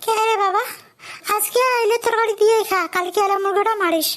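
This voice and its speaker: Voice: very high voice, fairly even intonation, a little fast, a bit bright. A performer voicing a placating village mother in a comedy cartoon, coaxing hurriedly in a North Bengal accent.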